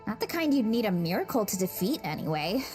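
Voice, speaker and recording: A woman's voice: medium pitch, big pitch swings, fast, music in the background, lightly acted. A woman playing a mild, candid young female adventurer in a video game, casual and matter-of-fact. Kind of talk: video game character acting.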